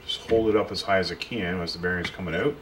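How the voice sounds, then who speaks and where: A low-pitched man explains a repair job as he works at a workshop bench, speaking plainly and directly to the viewer. Tools click and clatter clearly behind his voice. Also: steady tone, fast talker. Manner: plain, unpolished explaining while working, talking to the viewer. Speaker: pool and spa repair technician. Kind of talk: casual hands-on repair tutorial. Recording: tool clicks and workshop noise.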